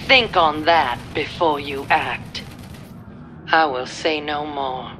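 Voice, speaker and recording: A woman playing a defeated, defiant middle-aged military general in a video game, speaking in a measured, grave way. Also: low voice, fairly bright tone, faint music behind. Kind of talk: dramatic video game acting.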